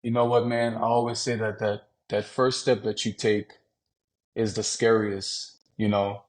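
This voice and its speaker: A man from Louisiana, a podcast guest, talking about travel in a relaxed, conversational way, often saying "man" and "you know". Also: fairly low pitch, a little slow. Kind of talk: casual interview talk.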